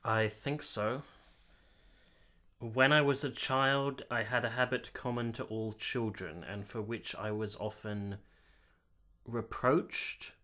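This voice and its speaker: A man playing a gentle, sympathetic, reserved gentleman in a period radio drama, measured, hesitant and formal. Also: mid-range voice, fairly even intonation. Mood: obliging.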